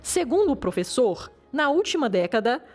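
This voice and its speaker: A woman teacher reading a formal, measured educational narration, heard as played-back video audio. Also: sits high, big pitch swings, faint music behind. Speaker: language teacher.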